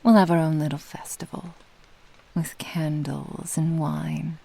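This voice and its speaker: A woman playing a possessive, doting fox-spirit witch in an intimate ASMR roleplay, teasing in formal old-fashioned phrasing and often dropping into breathy whispers. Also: deep voice, little pitch movement, unhurried, warm tone, exaggerated voice, rough recording. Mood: teasing, delighted. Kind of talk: ASMR roleplay, intimate scripted monologue to the listener.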